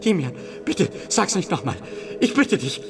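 An actor playing a frightened, pleading schoolboy in a nightmarish German radio play. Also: male voice, high-pitched, sing-song, normal speed, over music.

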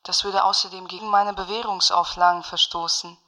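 A bright-voiced, sardonic activist. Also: female voice, fairly low pitch, steady tone, brisk. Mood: bitter, sardonic. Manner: pointed, dry sarcasm. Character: bitter, sardonic female former commune activist. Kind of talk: German radio play dialogue on a phone call.

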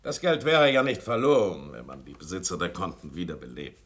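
An actor in a German radio play playing a pragmatic, cautioning member of a government cabinet. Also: male voice, low-pitched, lively intonation, fairly quick, fairly bright tone.

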